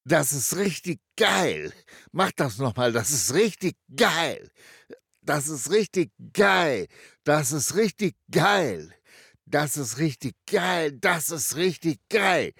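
Husky gravelly speaker, slow and lively pitch. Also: no room sound, studio quality. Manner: repeats one phrase.

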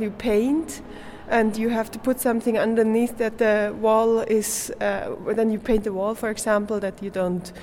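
Slow, halting young woman from Switzerland. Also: mid-range voice, vocal fry, darkish tone, a little hiss or hum. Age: young adult. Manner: hesitant, searching for words, with small laughs. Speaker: performance artist, art student being interviewed. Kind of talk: casual interview in non-native English.

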